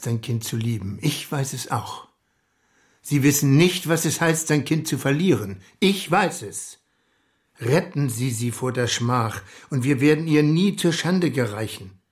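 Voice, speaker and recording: Man playing plain dignified working uncle in a radio play, mid pitched, grave and earnest, hurt but proud. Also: natural voice. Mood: pleading. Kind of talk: radio drama acting.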